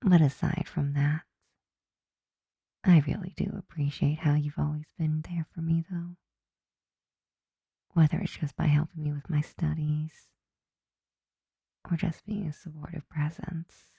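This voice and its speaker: A woman playing a flirty, softly dominant, affectionate vampire roommate in an intimate ASMR roleplay, breathy and often whispering, teasing and tender. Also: deep voice, flat delivery, unhurried, pauses often, dry recording, studio quality, exaggerated voice. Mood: fond, gently teasing.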